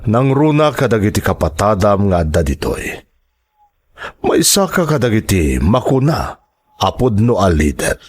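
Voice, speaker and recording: A man's voice: on the low side, sing-song, measured pace. A man with an Ilocano accent acting in a radio drama as a persuasive rebel leader who poses as a protector and hides a predatory side. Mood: smooth reassurance.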